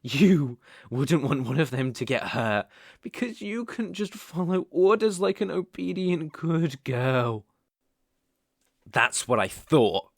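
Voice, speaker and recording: A British man playing an obsessive, menacing young boyfriend in an intimate ASMR roleplay, coaxing softly with slow, deliberate emphasis. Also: fairly high pitch, big pitch swings, gravelly, no echo, studio quality, heavily acted. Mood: condescending, coaxing. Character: obsessive, possessive, menacing young boyfriend holding partner captive.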